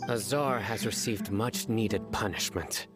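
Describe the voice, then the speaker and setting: A man's voice: lowish voice, a little slow, natural voice. A man playing a composed, businesslike scholar-official in a video game, speaking formally and matter-of-factly. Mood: calm, matter-of-fact. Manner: calm, formal, matter-of-fact. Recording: guitar music behind the voice.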